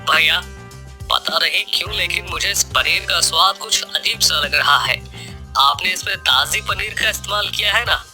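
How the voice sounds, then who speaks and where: A woman's voice: lowish voice, normal speed, bright, forceful tone. A woman voicing a stern, suspicious male food inspector, questioning and accusing. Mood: doubtful. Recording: music bed behind the voice.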